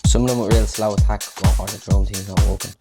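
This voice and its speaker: A man's voice: lowish voice, steady tone, fast. A man giving a casual music production tutorial, talking informally and thinking aloud as he works. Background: electronic house music.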